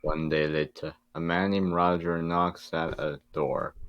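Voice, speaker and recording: A man's voice: sits low, little pitch movement, unhurried, fairly bright tone, clean tone, dull audio. A man reading stage directions as the narrator of an amateur table read.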